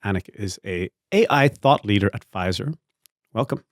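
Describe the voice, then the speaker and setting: Male host, low voice, dry close mic, curious questions, relaxed chat. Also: normal speed, dry recording. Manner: curious, conversational, with hesitant restarts. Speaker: podcast host. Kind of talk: casual interview conversation.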